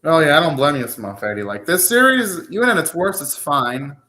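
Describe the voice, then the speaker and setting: A man's voice: on the high side, expressive, a little fast, pushed and bright. A young man hosting a live anime reaction, talking casually, ranting and swearing now and then.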